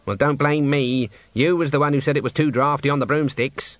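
A man's voice: medium pitch, steady tone, brisk, a bit bright, no echo, voice pushed up, lightly acted. A man voicing a stern, brusque old woman witch in a storybook reading, blunt and curt.

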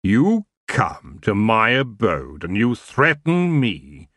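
A man voicing a lazy, seductive male elf king who drawls his words slowly. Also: on the low side, huge pitch swings, fairly bright tone, no room sound, heavily acted. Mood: weary. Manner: slow, languid, drawling.

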